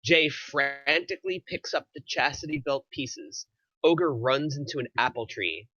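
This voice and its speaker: A man's voice: medium pitch, unhurried, pushed and bright, dry recording, highs cut off. A man reading screenplay stage directions aloud as the narrator of a table read.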